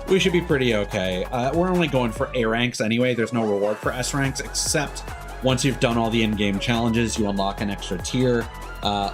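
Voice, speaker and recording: Low-voiced man streaming a game. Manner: halting, chatty, thinking aloud. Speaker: live streamer. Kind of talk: casual video game stream commentary. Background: video game music.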